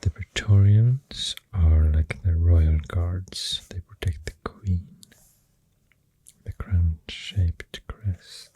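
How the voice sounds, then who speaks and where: An extremely low, mellow voice with a Swedish accent speaks slowly in soft ASMR style. It slips mostly into a breathy whisper, halting and soothing, close to the microphone. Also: steady tone, low-quality recording. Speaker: ASMR artist drawing while talking. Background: pencil writing and drawing sounds.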